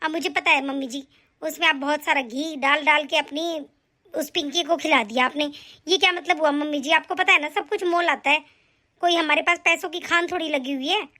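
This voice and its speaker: A woman's voice: sits high, steady tone, brisk, pushed and bright. A woman voicing a bossy, nagging aunt and daughter-in-law who scolds and complains in a family skit. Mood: complaining. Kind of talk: comic family skit acting.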